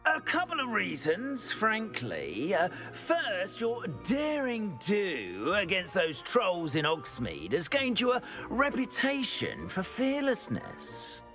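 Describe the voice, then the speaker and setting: An anxious, theatrical caretaker in a fantasy game. His high voice lurches dramatically up and down as he fusses and hesitates through wordy, old-fashioned phrases. Music plays clearly behind him. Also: wildly swooping pitch, takes it slowly, few pauses, exaggerated voice. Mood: flustered, eager. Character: anxious, flustered, theatrical male school caretaker. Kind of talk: video game character dialogue.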